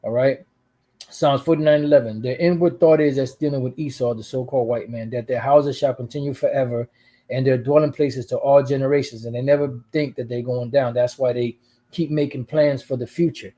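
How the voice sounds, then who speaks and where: Mellow-voiced man rambling through scripture. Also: mid-range voice, steady tone, fairly quick. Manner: rambling, full of "you know" asides and restarts. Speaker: channel host. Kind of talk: casual religious commentary reading scripture.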